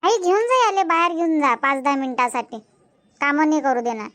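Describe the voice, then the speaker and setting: Woman as a very high-voiced young village wife. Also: a little fast, a bit bright. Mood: complaining. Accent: Varhadi Marathi from Vidarbha. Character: young rural Marathi daughter-in-law and mother, busy, chattering. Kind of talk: amateur cartoon comedy dubbing in village dialect.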